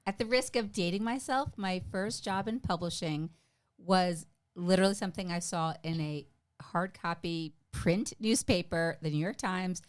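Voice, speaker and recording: A woman's voice: on the low side, normal speed, dry recording. A middle-aged woman from the United States, a podcast guest talking in a chatty, self-deprecating way with many fillers. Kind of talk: casual interview chat.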